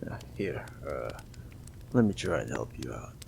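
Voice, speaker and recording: A man voicing a smug, possessive mafia boss in an audio roleplay, teasing and condescending, with a gravelly drawl and long pauses. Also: sing-song, noisy background, exaggerated voice. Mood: mock polite. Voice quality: low, gravelly, drawling delivery with drawn-out pauses. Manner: teasing, condescending, calls listener darling.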